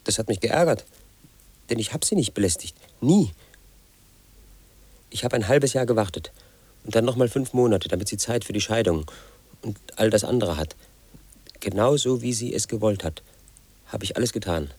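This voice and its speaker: A brooding, quietly resentful man in his thirties recounts his story for a radio play. His voice sits low and husky. He talks in a flat, insistent way, as if nothing could be his fault. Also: moderate pace, pauses often, no echo. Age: 30s. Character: obsessive, aggrieved man brooding over his ex. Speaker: narrator of his own story. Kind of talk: 1980s radio play monologue.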